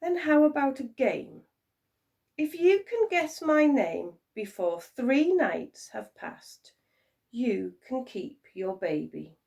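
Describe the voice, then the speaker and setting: Casting: woman to play gleeful, menacing little imp-man for a storybook, sneering, cackling, big pitch swings, slow delivery. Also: very animated, vocal fry, a little mellow, recorded from a distance, exaggerated voice. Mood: purring, taunting. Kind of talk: children's storybook character voice.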